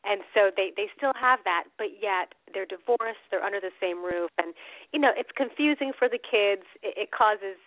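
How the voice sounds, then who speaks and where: A woman's voice: mid-range voice, moderate pace, dry recording. Woman guest, cold-stuffed and nasal, bubbly and chatty, thin dry phone line. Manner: bubbly, laughs often, repeats words. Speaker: guest actress. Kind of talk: casual phone interview. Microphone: phone or call line.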